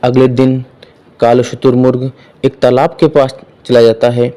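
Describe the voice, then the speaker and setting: Mellow-voiced male story narrator. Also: medium pitch, little pitch movement, unhurried. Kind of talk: scripted children's story narration.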